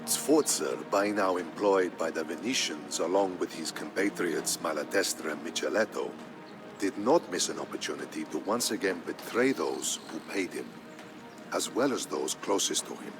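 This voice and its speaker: A man narrating a historical tale in a scripted, dramatic style, solemn and measured. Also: low-pitched, vocal fry. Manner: solemn, measured storytelling delivery. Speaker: narrator of a strategy game campaign story. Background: background music.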